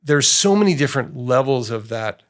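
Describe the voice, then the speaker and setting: A middle-aged man hosting a tech podcast at a middle pitch. He sounds friendly and keen, and a quick laugh often creeps into his talk. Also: lively intonation. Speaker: podcast host.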